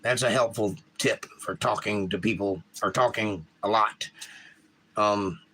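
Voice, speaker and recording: A man's voice: fairly low pitch, fairly even intonation, a little slow, sharp tone, slightly rough, poor audio. A man with a Georgia accent hosting a casual live stream, rambling and reading chat comments aloud from a home setup.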